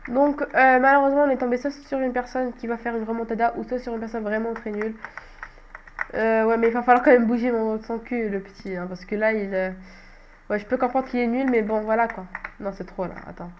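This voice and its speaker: Young woman, congested from a cold, slightly high voice, chatty and self-mocking gameplay commentary, faint hiss. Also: fairly even intonation, brisk, highs cut off. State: stuffy nose from a cold. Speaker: gaming channel host.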